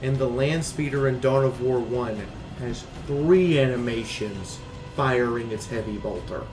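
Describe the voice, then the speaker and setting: A man delivers blunt, opinionated game commentary in a medium-pitched voice. He leans hard on points and repeats phrases for emphasis. The sound is roomy, with music playing behind him. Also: a little slow. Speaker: game reviewer and YouTuber.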